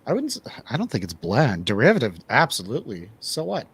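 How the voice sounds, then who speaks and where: A man's voice: medium pitch, huge pitch swings, a little fast, breathy edge. A man hosting a casual movie review chat, speaking in a conversational, opinionated way. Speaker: radio show host.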